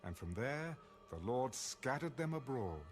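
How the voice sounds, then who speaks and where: A man narrating scripture from a script in a measured, formal way. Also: medium pitch, sing-song. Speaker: audio Bible narrator. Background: soft background music.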